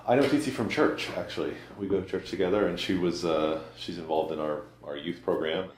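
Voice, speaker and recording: A man's voice: low voice, brisk. A man talking casually in a documentary interview. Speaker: church acquaintance and local mayoral candidate interviewed. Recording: echoey room, faint noise.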